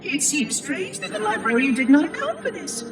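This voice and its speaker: A fussy, lonely robot caretaker from a video game, speaks in a high synthetic voice. It muses in formal, wordy sentences as its mind slowly frays. The sound is echoing and distant, with loud music behind it. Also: male voice, huge pitch swings, full character voice. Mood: wistful, uneasy. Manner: formal, verbose, musing monologue. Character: lonely, fussy, unravelling ancient robot caretaker of a ring installation. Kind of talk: scripted video game cutscene narration.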